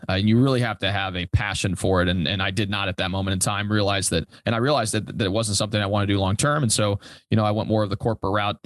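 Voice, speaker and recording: A young adult man answers interview questions in a casual, conversational way. His voice sits fairly low and moves along quickly. He is a podcast guest talking informally. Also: a bit bright, dry recording, studio quality. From Europe, born in Italy and raised there and in Germany until fourteen. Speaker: podcast guest, commercial real estate broker.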